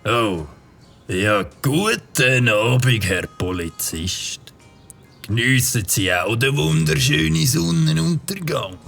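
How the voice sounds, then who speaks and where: A carefree, sing-song motorbike tinkerer, played by a man speaks in a broad Swiss German accent. His voice is gravelly and bounces through a wide melodic range. He talks at an unhurried pace, as in a children's audio drama. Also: mid-range voice, animated, a bit bright, faint music behind, full character voice. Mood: breezy. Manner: cheerful, carefree. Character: jolly middle-aged male motorbike tinkerer.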